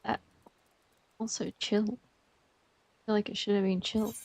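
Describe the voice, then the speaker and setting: A woman's voice: mid-range voice, little pitch movement, takes it slowly, a little mellow, soft background music. Chatty Australian woman streaming a game. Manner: chatty, rambling, lots of fillers. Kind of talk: casual stream chat.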